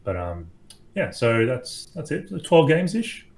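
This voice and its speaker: An Australian man talking as a guest on a casual podcast, enthusiastic and animated, swearing casually. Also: medium pitch, measured pace, gravelly.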